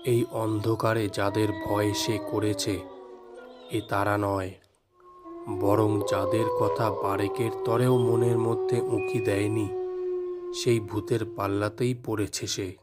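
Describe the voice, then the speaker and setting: A man narrating a scripted ghost story in a measured, dramatic manner. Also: fairly low pitch, flat delivery. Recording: music behind the voice.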